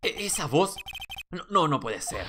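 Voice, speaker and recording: A man's voice: on the high side, sing-song, unhurried, background noise. A man voicing an anxious, earnest young male defense lawyer in a courtroom video game. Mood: startled, anxious.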